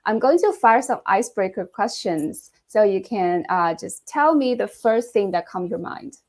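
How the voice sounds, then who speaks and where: A woman hosting a casual podcast interview. She asks quick icebreaker questions and laughs often. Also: mid-range voice, lively intonation. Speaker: podcast host.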